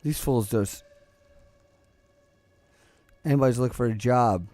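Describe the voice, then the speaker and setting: A young man with a low voice, streaming a game and chatting casually, rambling and cursing as he plays. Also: unhurried, warm tone, dry recording, soft background music. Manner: rambling, profane, joking, talks to chat and to the game. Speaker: gaming streamer. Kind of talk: casual gameplay commentary.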